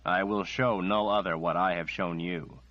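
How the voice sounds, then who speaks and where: A man's voice: low-pitched, expressive, measured pace, a bit bright, soft background music. A man voicing an older male prophet, solemn, sad and unbending. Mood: stern. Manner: grave and solemn. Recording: dull lo-fi recording.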